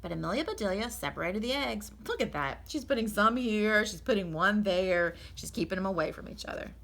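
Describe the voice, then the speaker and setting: Animated woman teacher reading a storybook aloud. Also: mid-range voice, brisk. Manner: encouraging, explanatory. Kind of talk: animated read-aloud with explanatory asides.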